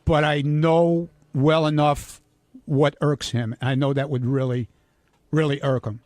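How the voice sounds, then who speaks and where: A man's voice: a little high, level tone, a little slow, darkish tone, dry recording. A man speaking bluntly in a casual panel discussion.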